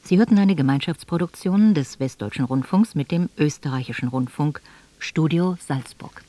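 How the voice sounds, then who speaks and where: A woman's voice: sits low, moderate pace, dark tone. A woman radio announcer reading a formal scripted broadcast announcement with careful enunciation in a studio.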